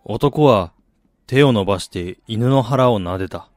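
A man's voice: lowish voice, a little slow, dry recording, clean studio sound, close to an everyday voice. An actor playing a weary, disillusioned man in a radio drama, with a brooding, self-deprecating way of talking. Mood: brooding, resigned. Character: weary, disillusioned man back in his dying hometown. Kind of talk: radio drama first-person narration and dialogue.

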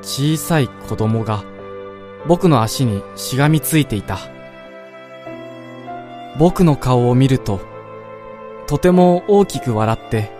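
A man playing a wistful dreamer in a radio drama, telling of his own dream in a measured, reflective first-person narration. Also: a little high, warm tone. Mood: tender, moved. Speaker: narrator-protagonist. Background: background music.

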